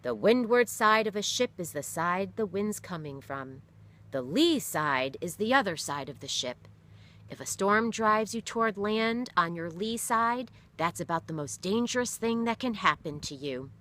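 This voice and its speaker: A woman's voice: mid-range voice, expressive, unhurried, fairly bright tone, voice pushed up. A woman voicing a weary father, once a ship captain, who explains things firmly in a read-aloud story. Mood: earnest. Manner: explaining, firm.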